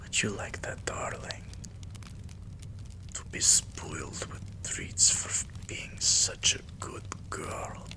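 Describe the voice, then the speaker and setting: A man playing a doting, possessive vampire king in an intimate ASMR roleplay, breathy and soothing, coaxing with pet names. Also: slow, close to an everyday voice. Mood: whispered, indulgent. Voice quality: breathy, whispery.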